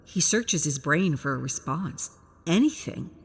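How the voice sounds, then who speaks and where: A woman's voice: low voice, animated, measured pace, husky. A woman reading scripted science fiction story narration in the manner of an audiobook.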